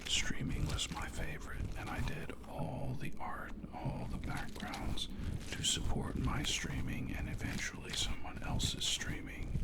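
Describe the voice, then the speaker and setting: A man doing soft-spoken ASMR in a breathy near-whisper, rambling casually and unhurriedly close to the mics. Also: low-quality recording. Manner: slow, unhurried, conversational. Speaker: ASMR creator and host. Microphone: close ASMR microphones.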